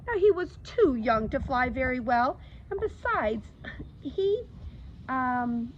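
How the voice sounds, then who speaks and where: A woman reads a children's story aloud as a storytime reader. Her voice is high and swings widely in pitch. The recording is slightly dull. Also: sing-song, slow. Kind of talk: animated read-aloud of a children's book. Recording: slightly dull audio, faint background noise.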